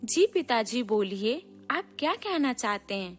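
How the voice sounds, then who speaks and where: A woman's voice: fairly high pitch, big pitch swings, measured pace, faint music behind. A woman voicing a respectful, self-assured young city bride in a storybook cartoon. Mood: polite, reassuring. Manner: respectful, reassuring.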